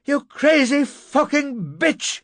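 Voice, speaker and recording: A man's voice: mid-range voice, animated, moderate pace, darkish tone, husky, no echo. A man voicing a volatile, blunt, aggressive and desperate man in an audiobook. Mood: furious, screaming. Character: volatile, foul-mouthed man, angry and desperate.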